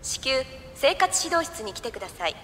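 An actress playing a formal, stern school staff member making a clipped announcement in an anime audio drama. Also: female voice, fairly high pitch, big pitch swings, moderate pace. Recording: long hall reverb, loud music.

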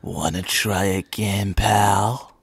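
A man voicing a sinister, taunting demonic cartoon hedgehog in a whispery, drawn-out voice, layered with pitched-down effects. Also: steady tone, exaggerated voice. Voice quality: whispery, husky. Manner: slow, drawn-out, menacing taunting. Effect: layered pitched-down copies, chorus, reverb.

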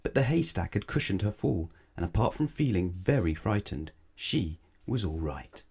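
A man's voice: low voice, big pitch swings, normal speed, gravelly, darkish tone, husky. A man reading a children's bedtime story aloud, dramatically, stretching and stressing words. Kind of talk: expressive read-aloud bedtime narration.

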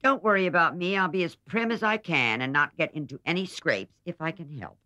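A woman's voice: on the low side, a little fast, sharp tone, no room sound, voice pushed up. A woman voicing a blunt, careless teenage tomboy sister in a storybook, offhand in manner. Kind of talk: storybook character reading.

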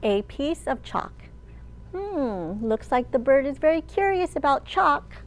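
A woman in her thirties, a children's librarian leading storytime with an animated, sing-song delivery for young children. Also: high-pitched, a little slow. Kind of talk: children's storytime read-aloud and teaching. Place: large open library room, indoors.

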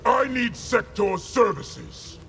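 An actor playing a stern, solemn, imperious fighter in a video game, speaking in a measured, formal way. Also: male voice, extremely high pitch, animated, vocal fry, noisy background, exaggerated voice. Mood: haughty. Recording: loud music behind.

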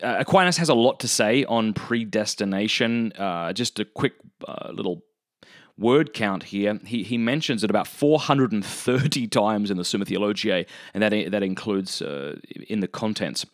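A man's voice: lowish voice, animated, normal speed, no echo. Australian man hosting, close dry sound. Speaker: podcast host. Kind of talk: casual chatty interview talk.

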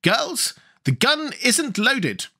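A man's voice: sits high, wildly swooping pitch, slow, pushed and bright, pitched above the performer's own voice, full character voice. A man voicing a fierce, determined young girl who leads a rebel army in a storybook. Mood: excited. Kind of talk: storybook character voice.

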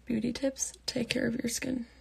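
A young woman in her late teens reading out fan comments and answering them in a soft, half-whispered voice, on a phone held at arm's length in her bedroom at night. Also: on the high side, little pitch movement, normal speed, vocal fry, slightly rough. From Pittsburgh, United States. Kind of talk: casual live Q&A chat.

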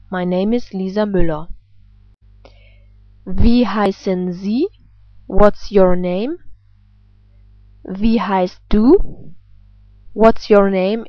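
Woman, language teacher, very slow careful delivery, dry slightly muffled recording. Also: medium pitch, dry recording. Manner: careful, deliberate enunciation. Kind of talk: scripted language lesson, example phrases with translations.

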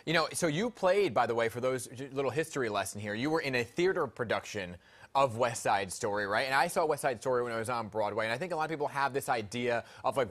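A man's voice: mid-range voice, a bit bright. A man in his thirties anchoring a morning news show from a studio, interviewing a guest in a chatty, enthusiastic way.

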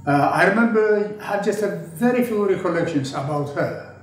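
A man in his eighties from Ethiopia, slowly and thoughtfully recalling his childhood in an oral history interview. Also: sits high, animated. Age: 80s. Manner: slow, measured, reflective, with pauses and restarts. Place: indoor studio with a draped backdrop. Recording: very echoey room, voice from a distance.

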